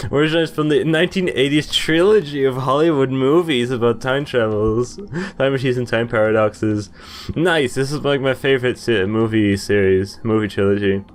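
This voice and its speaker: A young man giving casual game commentary and reading on-screen text aloud, easily amused and often chuckling. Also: medium pitch. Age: young adult. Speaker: gamer recording a playthrough. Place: indoors at a desk, with game music behind.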